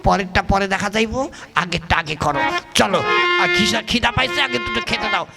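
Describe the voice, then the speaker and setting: A scheming, foul-mouthed village husband puppet, acted by a man. He blusters and plots in colloquial folk-comedy style, his pitch jumping about. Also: expressive, brisk, gravelly, loud music behind the voice. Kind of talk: comic folk puppet-play acting.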